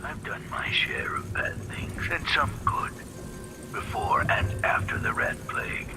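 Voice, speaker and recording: A man's voice: a little slow, music in the background, natural voice. An older man playing a grave, loyal old inventor speaking formally in a video game. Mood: ominous, regretful. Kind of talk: dramatic game acting, letter-like monologue.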